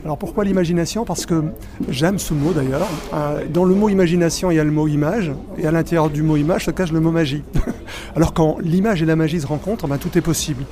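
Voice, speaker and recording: Man, middle-aged, magician guest, casual interview, animated, restarts, fairly high pitch. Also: brisk, crowd noise. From Spain, raised in Belgium. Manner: animated, many restarts and fillers.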